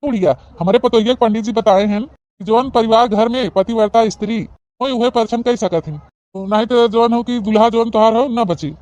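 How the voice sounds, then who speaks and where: A woman's voice: on the high side, a little fast, a little mellow, dry recording, highs cut off, close to an everyday voice. A woman voicing a bossy, impatient old father-in-law in an Awadhi comedy cartoon, scolding and blustery, with an Awadhi accent.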